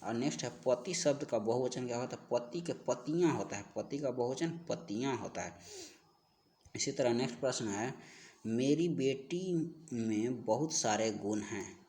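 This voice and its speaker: A man's voice: mid-range voice, moderate pace, a little mellow. A man giving an instructional exam-prep lesson, reading out questions and repeating the answers for emphasis in a didactic way.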